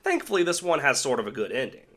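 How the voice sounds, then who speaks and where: A man's voice: mid-range voice, big pitch swings, fast talker, a bit bright. A man hosting an online video essay, giving sarcastic, irreverent commentary with casual swearing. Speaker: video host. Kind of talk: video essay commentary on cancelled game movies.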